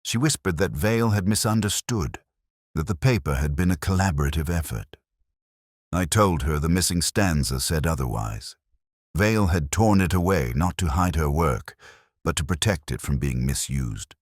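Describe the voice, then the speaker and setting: A man narrating a scripted first-person detective story in a measured, solemn, literary manner. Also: rumbling low, dry recording, clean studio sound.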